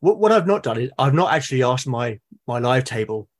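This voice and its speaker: A man with a British accent speaks as a guest in a relaxed podcast conversation. His voice is mid-pitched and a little rough. He phrases things thoughtfully and haltingly, building each point step by step. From Britain. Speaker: podcast guest, game master and podcast creator. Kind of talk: casual interview conversation.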